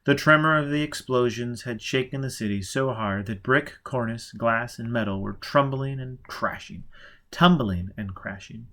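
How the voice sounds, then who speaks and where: Expressive male audiobook narrator. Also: mid-range voice, normal speed. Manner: steady, expressive reading, with occasional slips and self-corrections. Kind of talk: amateur audiobook reading of a novel.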